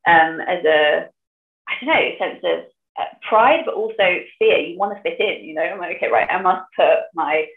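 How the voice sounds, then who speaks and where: A woman's voice: lowish voice, moderate pace. A woman from northeast England with an English accent, a guest author and poet talking in conversation about her work. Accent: English, with a lost Geordie accent put on for the poem. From Sunderland, northeast England. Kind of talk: conversation and poetry reading. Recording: thin phone-like sound, roomy echo.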